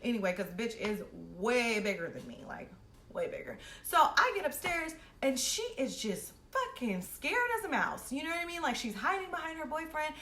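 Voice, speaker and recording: A young woman with a bright, forceful, fairly high voice tells a personal story in a brash, slangy way full of swearing. She acts out both sides of each exchange as she goes. The recording is roomy and echoey. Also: big pitch swings, normal speed. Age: young adult. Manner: brash, slangy and heavily profane. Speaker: host of a storytime series. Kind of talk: animated personal storytelling, casual. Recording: roomy echo with faint background noise.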